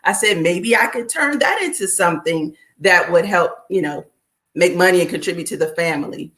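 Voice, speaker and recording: A middle-aged woman, a children's book author, talking casually as a guest, reflective and relaxed with many fillers. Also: lowish voice, normal speed. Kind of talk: casual conversation.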